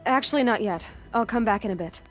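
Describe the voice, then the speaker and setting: An actress playing a stubborn, wry young outcast huntress with a vulnerable, earnest side, in a dramatic video game. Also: female voice, a little high, fast, faint music behind, natural voice. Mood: hesitant, wry.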